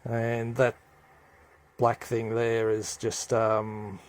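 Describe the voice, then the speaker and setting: A man with a medium-pitched voice narrates a casual, hands-on hobby demonstration. He talks in a halting way, thinking aloud as he goes. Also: completely flat delivery. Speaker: hobbyist radio presenter.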